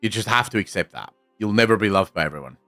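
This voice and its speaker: A man from South Africa with a soft South African English accent, Afrikaans his first language, chatting casually on a stream and answering chat. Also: lowish voice, animated, fast, soft background music. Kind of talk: casual live-stream chat, reading comments.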